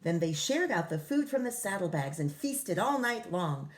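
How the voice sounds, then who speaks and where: A woman reading a rhyming picture book to children as their teacher, expressive, with casual explanatory asides. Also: lowish voice, normal speed.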